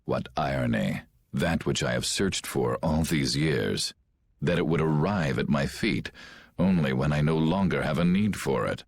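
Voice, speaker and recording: A deep, gloating villain. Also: male voice, animated, measured pace, creaky, exaggerated voice. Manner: cold, gloating, mocking laughter. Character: scheming ruthless male commander, middle-aged. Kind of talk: game cutscene voice acting. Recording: game audio with music behind.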